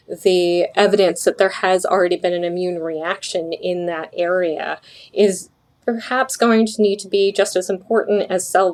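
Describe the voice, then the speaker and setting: A woman speaking as a physician researcher in an interview, explaining things in an articulate, deliberate way. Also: fairly low pitch, a little mellow. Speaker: physician researcher, podcast guest.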